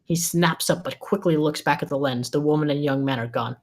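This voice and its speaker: A woman's voice: low-pitched, brisk. A woman reading a script's scene descriptions aloud as narrator at a table read.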